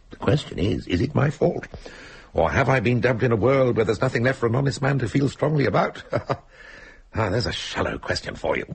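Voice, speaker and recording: A man's voice: very deep voice, gravelly, breathy edge, exaggerated voice. An actor playing a jaded, self-mocking man who muses wryly and articulately, in the style of a radio play. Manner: wry, languid, articulate musing. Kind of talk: dramatic radio play acting.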